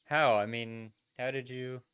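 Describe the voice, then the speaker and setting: A man's voice: mid-range voice, fairly even intonation, unhurried, fairly bright tone, clear, resonant tone, lightly acted. A man voicing an earnest, sociable but self-doubting young trainer in a visual novel, casual and hesitant. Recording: music behind the voice.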